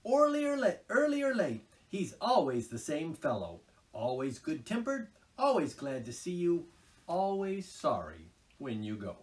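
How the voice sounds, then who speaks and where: A man voicing a good-natured, brisk male water rat. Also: a little high, huge pitch swings, recorded from a distance, pitched above the performer's own voice. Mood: hearty. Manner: brisk, hearty, chatty.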